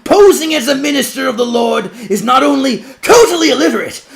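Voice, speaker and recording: A man playing an imposing orator in an audiobook, loudly denouncing someone in formal, declamatory, mocking tones with a strained, projected voice. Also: very high-pitched, gravelly, voice pushed up, exaggerated voice. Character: imposing orator denouncing a preacher, loud, righteous, coldly mocking.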